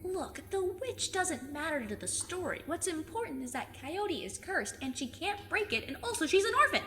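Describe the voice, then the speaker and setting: Casting: woman for plucky teen girl, high, bright, emphatic, defensive, audio drama with cavernous reverb. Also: sing-song, normal speed, heavily acted. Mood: defensive, flustered. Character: plucky, stubborn fifteen-year-old girl with truth-sensing curse. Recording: long cave reverb, faint noise.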